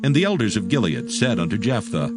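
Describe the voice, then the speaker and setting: A man narrating a dramatized Bible in a measured, formal storytelling manner. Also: on the low side, very animated, over loud music.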